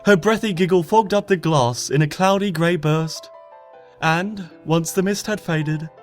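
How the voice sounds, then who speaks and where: A man reading a fan story aloud as an expressive narrator, often dropping to a hush at sentence ends. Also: a little high, measured pace. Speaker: fanfiction reader. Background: soft background music.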